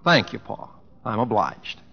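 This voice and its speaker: An actor playing a plainspoken young cowboy with a folksy Western American drawl, in 1950s radio drama style. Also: male voice, lowish voice, big pitch swings, measured pace, creaky, slightly rough, faint music behind, heavily acted. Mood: earnest, plainspoken. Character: plainspoken easygoing young cowboy, stubbornly his own man. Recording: dull old radio broadcast.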